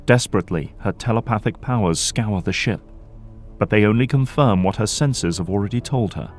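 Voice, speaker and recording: A man narrating an audio drama from a script in a measured, dramatic storytelling manner. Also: lowish voice, faint music behind, lightly acted.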